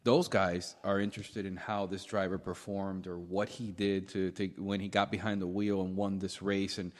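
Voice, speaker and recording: Lowish-voiced American man, reverberant casual chat. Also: little pitch movement, normal speed. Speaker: podcast co-host. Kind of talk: casual banter and opinion. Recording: echoey room with long reverb.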